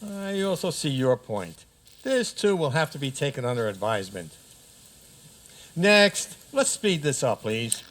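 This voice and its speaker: A man's voice: medium pitch, big pitch swings, unhurried, a bit bright, a little hiss or hum. An actor playing a fussy, pompous frog king who hands down formal rulings in a comic radio play. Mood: impatient, brisk. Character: fussy frog king judging fairy-tale squabbles, hurried. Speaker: judge. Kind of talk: comic radio theater acting.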